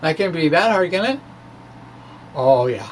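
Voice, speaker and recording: A man with a somewhat high-pitched voice talks through technical material like an informal blogger. He is halting and rambling, slipping into colloquial, wry asides. Clear background noise sits behind his voice. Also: sing-song. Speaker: amateur physics blogger presenting his work. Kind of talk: informal spoken physics explanation, dramatic reading of his blog.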